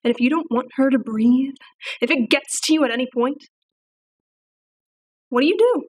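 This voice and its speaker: A woman playing a cold, detached killer who speaks in a quiet, halting, hushed way. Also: sits high, slightly rough, distant mic. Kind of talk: audition monologue.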